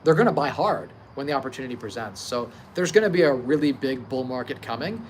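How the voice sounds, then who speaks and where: A man's voice: mid-range voice, fast talker. A thirty-year-old man hosting a casual livestream about investing, speaking informally, emphatically and confidently. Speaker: livestream host, real estate investor. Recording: clear steady background ambient noise.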